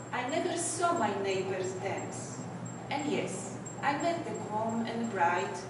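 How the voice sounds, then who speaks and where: A woman with a very soft voice, reading her poems slowly and hesitating between her remarks, recorded without a microphone. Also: fairly high pitch. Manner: hesitant, halting between asides. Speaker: poet reading her work. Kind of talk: poetry reading with asides. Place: event venue, indoors. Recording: echoey room from a distance, loud background noise.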